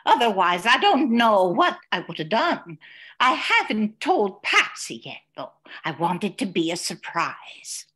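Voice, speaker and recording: A woman's voice: mid-range voice, very animated, a little slow, fairly bright tone, husky, heavily acted. An older woman playing a loyal, wry housekeeper and cook in an amateur radio mystery. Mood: wry, measured. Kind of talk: amateur old-time radio mystery acting.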